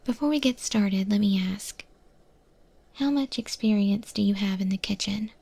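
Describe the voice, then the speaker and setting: A woman voicing a wry, easygoing eldritch monster chef in a breathy, whispered ASMR roleplay, speaking with hesitant, thoughtful pauses. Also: mid-range voice, dark tone. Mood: businesslike, reassuring. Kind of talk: ASMR roleplay, softly spoken to the listener.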